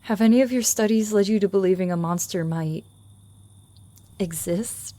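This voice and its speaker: A woman's voice: mid-range voice, normal speed, dark tone, close to an everyday voice. A woman playing a plucky, alert partner on a monster hunt in a vintage-style radio drama. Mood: curious. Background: dramatic music.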